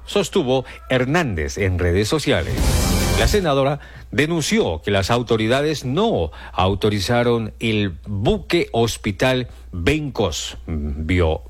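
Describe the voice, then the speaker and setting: Man reading morning radio news over music. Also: medium pitch. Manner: reads with slips and restarts, repeats the time. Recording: music bed behind the voice.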